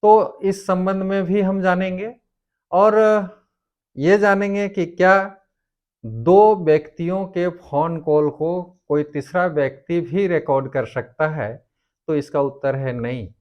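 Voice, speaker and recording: Slow-paced man explaining law, dull recording. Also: high-pitched, fairly even intonation, darkish tone. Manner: formal, deliberate, halting in short phrases. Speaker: presenter of a legal explainer.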